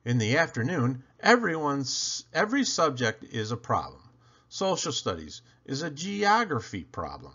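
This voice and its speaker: A man reads a children's story aloud with wildly swooping, expressive intonation. He takes his time and stresses questions and lists theatrically. The recording sounds a little dull and lo-fi. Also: medium pitch, a little slow, husky. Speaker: storyteller reading a picture book aloud. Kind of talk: animated children's read-aloud narration.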